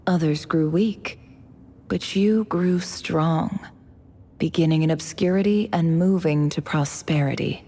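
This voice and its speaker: An actress playing a cool, calculating young woman in a video game, a scholarly envoy who speaks with measured, polite persuasion. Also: deep voice, dark tone. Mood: courteous, persuasive.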